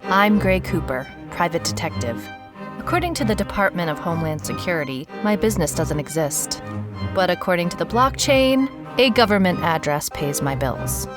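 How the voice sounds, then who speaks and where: Woman playing a cool, deliberate noir private detective. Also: lowish voice, very animated, music in the background. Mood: cool, mysterious. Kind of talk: scripted sci-fi noir audio drama narration.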